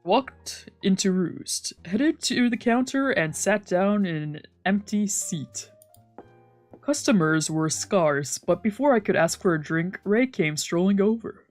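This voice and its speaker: A woman streamer reading visual novel narration aloud, plain and steady, with playful asides. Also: mid-range voice, unhurried, faint music behind.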